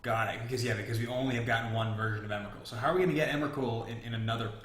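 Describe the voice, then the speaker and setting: Fast-talking man in an echoey room. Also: medium pitch, fairly even intonation, fairly bright tone. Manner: informal, questioning, interjecting. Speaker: podcast co-host asking questions. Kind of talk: casual chat. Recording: echoey room with faint background noise.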